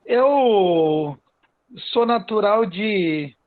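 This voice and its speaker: A man with a Brazilian accent from the São Paulo coast tells his own story casually as a podcast guest, haltingly, repeating phrases and tagging them with "né". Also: high voice, lively intonation, dry recording. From Cubatão, São Paulo state, Brazil. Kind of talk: casual personal storytelling in an interview. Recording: narrow phone-like online call sound.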